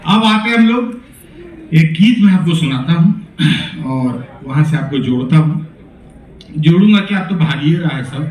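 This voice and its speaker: A man's voice: fairly high pitch, lively intonation, moderate pace, a little mellow. A man with a Bihari accent, a stage poet giving a teasing, folksy talk to students at a poetry event. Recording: echoey hall, voice from far off.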